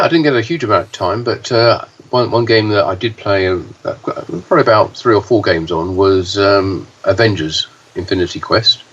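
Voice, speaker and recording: A man co-hosting a podcast, talking with a hoarse voice and halting, with frequent fillers and restarts. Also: on the low side, a bit bright. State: hoarse, deeper voice than usual. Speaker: podcast co-host, pinball news reporter. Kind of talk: casual podcast chat. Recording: dull, slightly distant, faint hiss.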